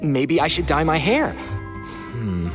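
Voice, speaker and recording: A woman's voice: deep voice, big pitch swings, slow, full character voice. A woman voicing a friendly, awkward, enthusiastic youngster in a video game, hesitant and rambling. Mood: eager. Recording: game music behind.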